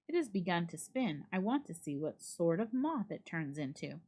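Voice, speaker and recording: A woman voicing a curious, amused gentleman houseguest in a storybook reading, breezy and conversational. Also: lowish voice, big pitch swings, moderate pace. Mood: pleased, intrigued.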